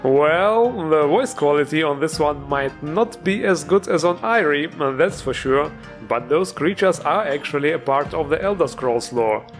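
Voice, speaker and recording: A man's voice: on the high side, animated, measured pace. A man narrating a jokey, scripted gaming review as host, playful and tongue-in-cheek. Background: music.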